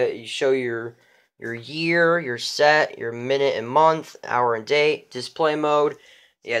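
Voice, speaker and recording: A man's voice: mid-range voice, fairly bright tone, clean tone. A man giving a casual, unscripted show-and-tell of a hobby collection, rambling and repeating himself.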